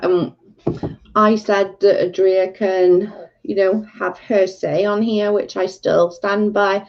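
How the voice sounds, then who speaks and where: A woman's voice: medium pitch, level tone, takes it slowly, muffled recording. A Welsh woman hosting a casual livestream, talking bluntly and with exasperation to her chat. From Wales. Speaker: livestream host.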